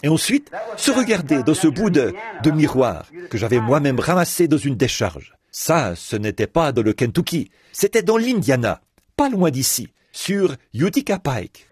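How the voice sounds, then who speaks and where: A man delivering a translated sermon in a lively preaching cadence, repeating words as he goes. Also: fairly high pitch, normal speed, a crowd in the background. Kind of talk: French reading of a translated sermon.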